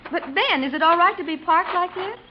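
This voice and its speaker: An actress playing an anxious, gently teasing wife in an old-time radio drama. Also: female voice, extremely high pitch, a little fast. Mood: fretful, teasing. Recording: muffled, noisy old radio recording.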